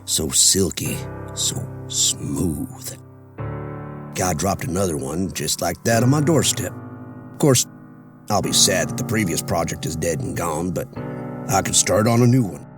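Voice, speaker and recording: An actor in an audio drama playing a gloating, taunting mad-scientist serial killer with drawn-out, theatrical delivery. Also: male voice, low-pitched, animated, music in the background. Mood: smug, wistful.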